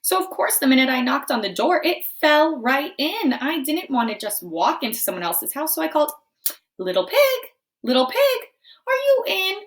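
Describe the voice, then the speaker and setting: Lady reading as a storybook wolf w a cold, kinda high voice, super swoopy, chatty n indignant, always excusing himself. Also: sing-song, normal speed. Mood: incredulous, chatty. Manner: indignant, exaggerated, chatty and confiding. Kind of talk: animated read-aloud storybook narration.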